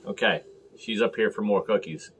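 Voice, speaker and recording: An American man hosting a casual beer review to camera, talking in halting, clipped short phrases. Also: on the low side, fairly bright tone, a little hiss or hum. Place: small room at home, indoors.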